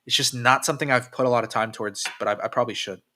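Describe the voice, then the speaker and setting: A man's voice: mid-range voice, fairly even intonation, racing, sharp tone, slight background noise. A young man livestreaming about crypto, reading out chat comments and answering in a loose, profane way, with lots of fillers and restarts, tired from staying up late.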